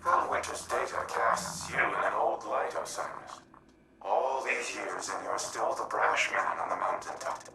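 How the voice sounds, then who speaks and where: A man voicing a stately artificial intelligence in a robot body for a video game, speaking in a formal, measured, solemn way. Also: on the low side, vocal fry, crowd noise, low-quality recording. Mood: calm, unwavering. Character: Rasputin, stately male war-machine AI in a robot body. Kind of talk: scripted video game dialogue. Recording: loud music behind the voice.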